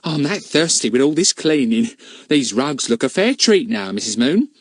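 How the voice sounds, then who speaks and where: A man's voice: mid-range voice, sing-song, moderate pace, no echo, clean studio sound, pitched above the performer's own voice. A man voicing a cheerful, gossiping older charwoman with folksy, chatty speech. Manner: chatty, folksy, dropped grammar.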